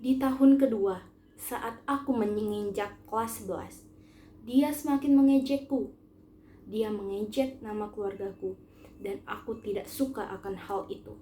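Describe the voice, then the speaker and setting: A teenage girl, a student reading a short story aloud in an expressive, earnest first-person style. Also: mid-range voice, unhurried, echoey room. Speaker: student narrator. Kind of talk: scripted short story narration, read aloud.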